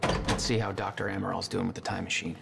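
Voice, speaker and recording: An actor playing a practical, wry young man in naturalistic video game dialogue, with a gravelly voice. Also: low voice, level tone, fast talker. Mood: focused. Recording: game audio over loud machinery noise.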